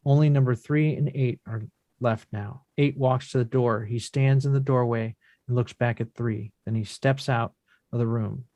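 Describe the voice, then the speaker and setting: A man narrating scripted screen action in measured, deliberate short phrases as the reader of stage directions. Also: medium pitch, fairly even intonation, dark tone, dry recording.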